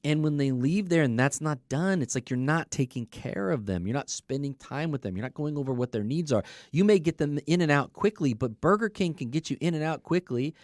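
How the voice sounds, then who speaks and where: A man's voice: a little high, lively intonation, brisk, few pauses, a little mellow, no echo, clean studio sound. A man talking as a business coach in a casual podcast conversation, explaining his points and telling anecdotes in a conversational way. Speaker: car dealership service coach.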